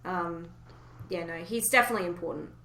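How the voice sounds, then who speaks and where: A woman's voice: fairly low pitch, brisk, bright, forceful tone. A woman hosting a podcast in a casual, chatty style. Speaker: podcast host. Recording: roomy, echoey home recording.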